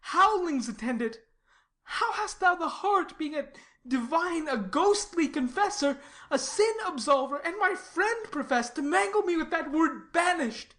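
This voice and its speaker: A woman's voice: very high voice, animated, measured pace, fairly bright tone, full character voice. A woman playing a lovesick, desperate banished youth in a Shakespearean tragedy, with an anguished theatrical delivery. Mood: anguished, bitter. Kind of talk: classical stage tragedy in audio.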